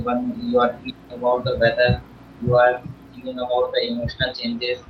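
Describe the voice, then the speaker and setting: An Indian-accented man speaks in earnest, measured explanations, like a homeopath co-hosting an interview. His voice sits at an ordinary male pitch, captured over a video call. The sound is muffled and echoey, as if from a bare room. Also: slight background noise. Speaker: homeopath co-host.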